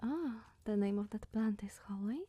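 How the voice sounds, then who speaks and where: A woman voicing a sweet, bashful, scatterbrained young witch in a soft, partly whispered ASMR roleplay, coy and rambling with musing hums. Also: mid-range voice, normal speed, no echo, lightly acted. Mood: friendly, chatty. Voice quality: soft, breathy.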